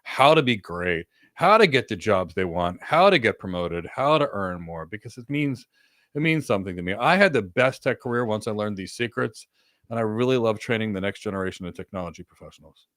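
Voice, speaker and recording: A man's voice: lowish voice, lively intonation, fairly quick, fairly bright tone, no room sound, clean studio sound. A man co-hosting a live career question session on a webcam, giving earnest advice in short repeated instructions from a home office with several monitors. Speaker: co-host answering career questions. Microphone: desk webcam setup, video call.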